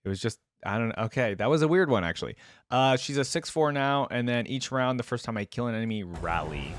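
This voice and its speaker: A man's voice: medium pitch, expressive, brisk, no room sound. Casual man reacting aloud, dry close sound. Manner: informal, chatty, thinking aloud. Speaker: YouTube reaction host.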